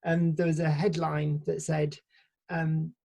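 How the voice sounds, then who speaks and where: Husky low-voiced woman guest. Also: flat delivery, slow pace, mellow. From Sheffield, England. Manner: thoughtful, explains ideas at length with frequent restarts. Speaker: podcast guest. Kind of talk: casual conversational interview.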